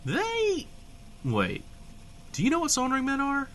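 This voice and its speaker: Animated actor as glib male radio host. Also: very high voice, measured pace, exaggerated voice. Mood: bantering. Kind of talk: scripted comedy radio banter.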